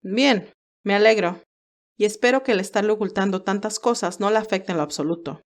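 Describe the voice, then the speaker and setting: A woman's voice: mid-range voice, fairly quick, natural voice. A woman voicing a stern, protective older male advisor in an audio drama, speaking in an earnest, admonishing way.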